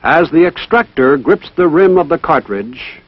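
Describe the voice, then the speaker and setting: A man's voice: a little high, lively intonation, unhurried, breathy edge, no echo. A man gives formal, methodical scripted narration as the narrator of an instructional training film. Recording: dull, band-limited vintage military film soundtrack.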